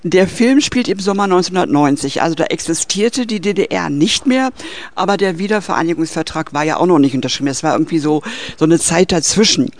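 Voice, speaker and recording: A man interviewing a film director, asking measured, polite questions. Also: fairly high pitch.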